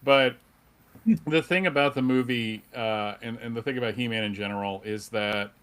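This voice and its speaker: A middle-aged man speaking casually as a fan panelist, in a halting, rambling, wry way. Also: medium pitch, steady tone, fairly bright tone, smooth.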